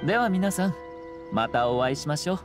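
An actor playing a calm, courteous, scheming young nobleman in an anime game, speaking in a measured, confident way. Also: a little high, natural voice. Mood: composed, sly. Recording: music behind the voice.

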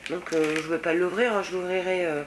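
A woman in her thirties with a head cold, sounding slightly husky and nasal, chatting casually as she unboxes beauty products at home, rambling with lots of fillers. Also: on the low side, fairly even intonation, brisk, noisy background. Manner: chatty and rambling, many fillers, self-mocking. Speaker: beauty vlogger. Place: home room with shelves of nail polish. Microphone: fixed camera at desk distance.

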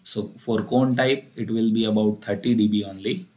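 A man's voice: fairly low pitch, fairly even intonation, moderate pace, dark tone. Indian-accented man lecturing methodically. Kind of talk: explanatory technical lecture over slides. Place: voice-over for a screen recording.

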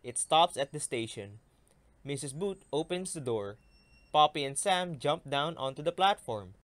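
A man reading a children's story aloud, narrating in an expressive way. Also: a little high, a little slow. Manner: expressive, animated delivery of story dialogue. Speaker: storybook reader.

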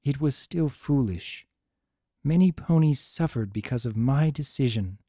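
A man's voice: medium pitch, expressive, a little slow, mellow, no echo, studio quality. A man voicing a wise, regretful elder pony princess in a dramatic story reading, grave, restrained and formal.

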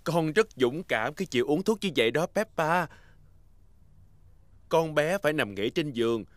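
A man voicing a calm, kindly brown bear doctor in a children's cartoon dub, with a reassuring manner. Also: on the high side, a little slow, no room sound. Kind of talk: animated children's show dub.

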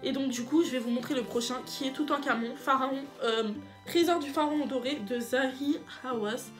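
A woman's voice: sits high, moderate pace, music in the background. A young woman with a stuffy, cold-congested nose, chatting casually and enthusiastically about books she read, often searching for words and repeating herself. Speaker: book vlogger. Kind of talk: casual book review vlog.

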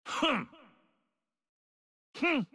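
An actor playing a greedy, cruel old warlord in a video game, barking orders and battle cries in a gravelly voice. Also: male voice, extremely slow. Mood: blustering. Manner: blustering orders and battle shouts. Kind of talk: video game action voice lines. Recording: long hall reverb.

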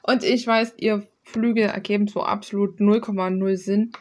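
A woman's voice: mid-range voice, fairly even intonation, moderate pace, darkish tone. A young adult woman chatting casually in a rambling, slangy, self-deprecating way with mild swearing, like an artist presenting her own work in a vlog. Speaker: illustrator presenting own artwork. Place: small room at home, desk.

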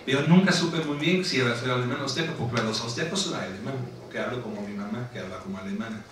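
A man's voice: medium pitch, very fast. A middle-aged man with a German accent, an author telling anecdotes on a panel, laughing as he goes and often adding tag questions. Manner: animated storytelling, laughing, frequent tag questions. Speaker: author at a book presentation. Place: large lecture hall. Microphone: table microphone on stand. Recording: echoey hall from far off with noise.

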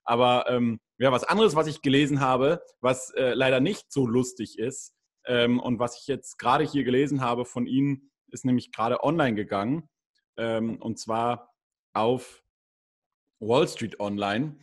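A young male host chats into a desk microphone from a small room at home. His voice is mid-pitched with a slightly husky grain. He rambles a little as he works toward each question. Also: measured pace. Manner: chatty, asks long rambling questions. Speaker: YouTube interview host. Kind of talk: casual video interview. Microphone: studio condenser mic on desk.

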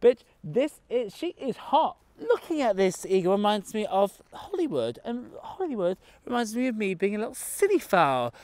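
A man's voice: high-pitched, big pitch swings, moderate pace, hardly any pauses, darkish tone, dry recording. A man, sassy and dramatic, vlogging at an outdoor zoo into a phone held close. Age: 20s. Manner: sassy, dramatic, talks to animals and camera. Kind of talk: camp comedic vlog monologue. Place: outdoor zoo, among animal enclosures. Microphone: handheld phone held close.

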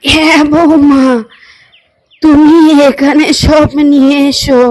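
A woman voicing a kindly, affectionate older mother-in-law in a children's doll story, gentle and fond. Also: sits high, little pitch movement, takes it slowly, soft background music, poor audio. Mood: fond, agreeable.

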